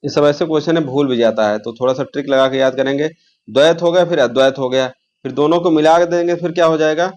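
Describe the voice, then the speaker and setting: A man's voice: a little high, quick pace, darkish tone, highs cut off. A man teaching an informal exam lesson, repeating key terms and posing questions as he explains.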